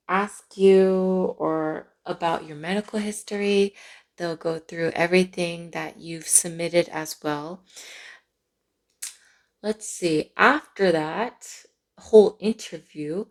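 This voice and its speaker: A woman vlogger chatting casually and playfully through a personal story, sounding a little sleep-deprived, with animated asides. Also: lowish voice. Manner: playful, animated asides with giggles and drawn-out words.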